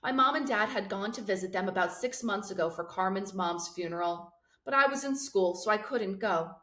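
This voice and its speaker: A woman narrates a children's novel in an expressive read-aloud style. Her voice sits at a middle pitch, and the recording has a clearly roomy, echoing sound. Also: moderate pace.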